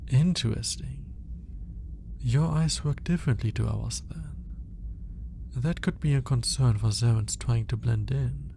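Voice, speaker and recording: Man acting as alien scientist, ASMR roleplay, clinical and curious, mellow, slightly low voice, measured delivery. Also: creaky, slight background noise. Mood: clinical, detached. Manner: clinical, measured, hesitant when flustered. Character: male alien scientist, clinical, curious, grudgingly fascinated by humans. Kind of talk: ASMR roleplay, soft intimate scripted acting.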